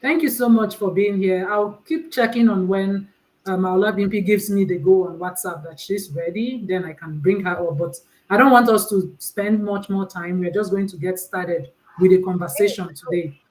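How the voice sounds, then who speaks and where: A woman's voice: medium pitch, moderate pace, darkish tone, poor audio. Chatty Nigerian woman hosting a call. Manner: chatty, restarts sentences often. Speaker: host of an online panel. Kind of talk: casual live-stream hosting. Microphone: computer mic on a video call.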